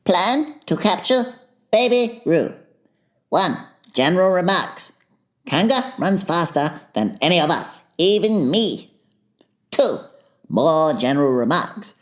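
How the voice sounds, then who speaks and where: A man's voice: sits high, sing-song, takes it slowly, pitched above the performer's own voice, heavily acted. A man voicing a bossy, self-assured rabbit who lays out plans in an orderly way, in a dramatized storybook. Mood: officious, proud.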